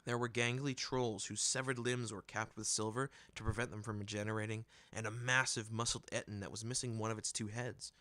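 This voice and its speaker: A man giving an expressive scripted narration of fantasy fiction, as an audiobook reader. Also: fairly low pitch, a little fast, hardly any pauses, dry recording.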